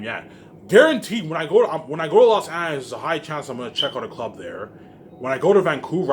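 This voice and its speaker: A man rambling casually to viewers in an emphatic, repetitive, profane way, leaning on the same verbal tags. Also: medium pitch. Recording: faint laundry-machine background noise.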